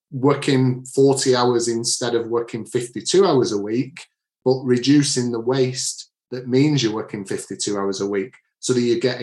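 Man, Manchester accent, author being interviewed, earnest with frequent restarts, middle pitch, roomy sound. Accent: Manchester English. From Manchester area, England. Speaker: author and leadership coach. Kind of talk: podcast interview answers.

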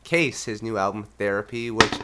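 A man's voice: lowish voice, moderate pace, very noisy. A Canadian man hosting a podcast, congested and a bit nasal from illness, easygoing and laughing often. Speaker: podcast host. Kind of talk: casual chat.

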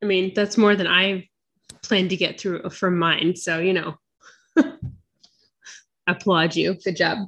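A woman's voice: fairly low pitch, flat delivery, slow pace, long pauses, a bit bright, slightly rough, dull audio. A woman co-hosting a podcast, chatting casually and answering in short, agreeable remarks. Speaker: podcast co-host. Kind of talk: casual chat about books.

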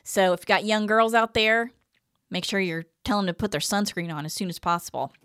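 A woman's voice: medium pitch, fairly quick, a bit bright, smooth, dry recording, studio quality. A woman in her fifties co-hosting a podcast, chatting casually and reading from articles. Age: 50s. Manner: chatty, reads quotes aloud then comments. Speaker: podcast co-host.

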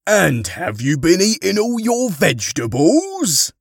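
A man's voice: high-pitched, wildly swooping pitch, takes it slowly, gravelly, slight background noise, voice pushed up, exaggerated voice. A man playing a kindly older grandfather as a jolly Father Christmas, with hearty, projected delivery in a story. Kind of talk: audiobook character dialogue.

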